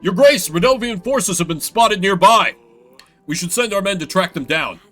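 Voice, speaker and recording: A man voicing a bustling, decisive queen and wife, talking in a brisk, emphatic way. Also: high-pitched, animated, a bit bright, soft background music. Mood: urgent.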